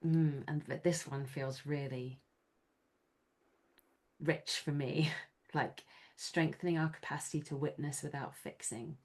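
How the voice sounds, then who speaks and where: A woman with a low, slightly hoarse voice hosts the conversation over a video call. She speaks reflectively and laughs often. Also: fairly even intonation, a little slow, a little mellow. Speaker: host. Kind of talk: conversational interview.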